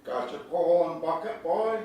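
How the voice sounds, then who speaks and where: A man's voice: on the high side, lively intonation, moderate pace, highs cut off, close to an everyday voice. A man playing a folksy, protective older country fisherman with a drawling rural American accent in a staged play reading. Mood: folksy, steady. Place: indoor venue, staged reading. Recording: very echoey room from far off, noisy.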